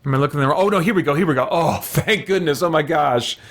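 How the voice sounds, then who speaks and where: A man's voice: a little high, fast, a bit bright. A man giving casual let's-play commentary, muttering to himself hesitantly and exclaiming mild oaths as he thinks aloud. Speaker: gaming video host.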